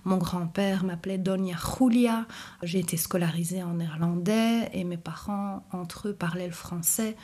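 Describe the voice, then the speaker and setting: A woman's voice: mid-range voice, mellow. A woman speaking casually and eagerly in an interview, often restarting her phrases. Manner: enthusiastic, halting, restarts phrases.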